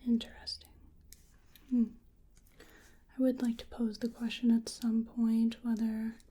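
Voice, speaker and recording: Soft, breathy woman whispering slowly. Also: fairly high pitch, completely flat delivery. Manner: gentle, unhurried, hesitant musing. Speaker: ASMR performer playing a researcher examining a creature. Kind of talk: soft-spoken ASMR medical exam roleplay.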